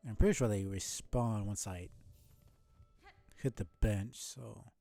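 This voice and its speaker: A man with a fairly low, very mellow and relaxed voice gives live gameplay commentary. He talks casually, as if thinking aloud to himself while he plays. His language is loose and sweary. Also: slow, gravelly, soft background music. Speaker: streamer playing a video game.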